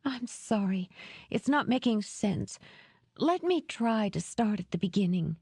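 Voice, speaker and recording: A woman's voice: medium pitch, lively intonation, a little slow, a little mellow, breathy edge, no room sound, clean studio sound, pitched above the performer's own voice. A woman voicing a fragile young woman in an audiobook, anxious, polite and faltering as she speaks. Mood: fearful, faltering.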